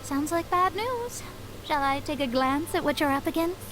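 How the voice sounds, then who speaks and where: A woman's voice: high voice, lively intonation, normal speed, a bit bright, slight background noise. A woman playing a proud, prim young astrologist in an anime-style video game, formal and a little self-important. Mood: dignified. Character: proud, slightly flustered young female astrologist, dignified.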